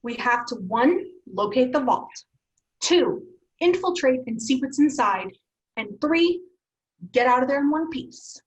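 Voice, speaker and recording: A woman's voice: sits high, animated, measured pace. An actress playing a bossy, sardonic young woman leading her friends, in a dry screenplay table-read delivery. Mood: commanding. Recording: slightly dull remote call audio.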